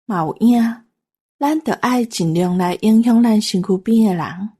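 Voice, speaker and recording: A woman's voice: mid-range voice, moderate pace, dark tone, breathy edge. A woman with a Taiwanese accent talking conversationally as a co-host. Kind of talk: conversational discussion.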